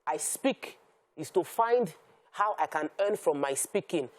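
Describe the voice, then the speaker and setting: A Nigerian-accented woman shares her opinions on a talk show panel. Her voice is a bit low for a woman, and her delivery is conversational. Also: lively intonation, measured pace.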